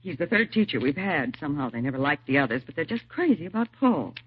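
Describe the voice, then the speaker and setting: A woman's voice: sits low, sing-song, fast, a little hiss or hum. An actress playing a polite, concerned suburban mother in a 1950s radio drama, proper and tentative in her speech. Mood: worried. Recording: tinny, narrow old radio recording.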